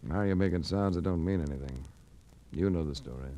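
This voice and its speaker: An actor playing a wry, tough private investigator in a 1940s radio drama, with an American accent and clipped delivery. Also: male voice, deep voice, fairly quick, dark tone, natural voice. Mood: wry, probing. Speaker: lead actor and narrator. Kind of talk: 1940s radio detective acting. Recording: vintage 1940s radio recording with music.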